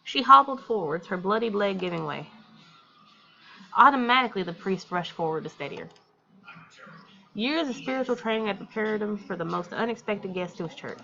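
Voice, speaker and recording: A woman hosting a casual let's play in a very bright voice, caught on a slightly muffled microphone. She reads visual novel narration and drops in candid, slangy commentary. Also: mid-range voice. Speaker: gaming video host.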